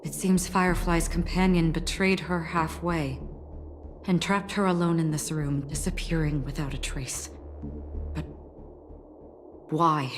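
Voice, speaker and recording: A woman's voice: low-pitched, fairly even intonation, a little slow, pauses often, a little hiss or hum. An actress playing a serious, inquisitive woman investigator in a video game, with an earnest and analytical delivery. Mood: serious, puzzled. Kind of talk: scripted video game dialogue.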